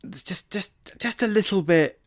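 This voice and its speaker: A man co-hosting a podcast, casually giving opinions in a halting, word-repeating way. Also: sits high, animated, no room sound, clean studio sound. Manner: hesitant, repeats words, opinionated. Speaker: podcast co-host. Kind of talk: casual film discussion chat.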